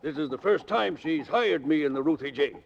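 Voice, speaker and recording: Creaky, high-pitched old sea captain. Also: male voice, animated, fairly quick. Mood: cheerful. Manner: folksy nautical dialect, hearty. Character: cheerful, deferential old-salt charter boat captain. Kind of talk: 1940s radio drama acting. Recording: old muffled noisy 1940s radio recording.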